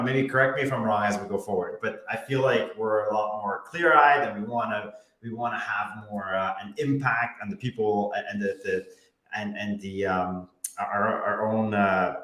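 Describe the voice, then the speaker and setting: A man's voice: fairly low pitch, normal speed, a bit bright. A man, a real estate broker hosting an interview, thinking aloud with frequent restarts. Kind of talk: casual interview chat. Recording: echoey room.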